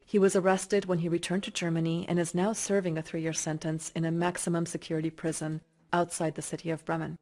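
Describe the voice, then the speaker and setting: Female reporter; mellow, lower-pitched voice, composed delivery for narration and questions. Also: little pitch movement, a little fast, few pauses. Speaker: journalist. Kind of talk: news narration and interview questions.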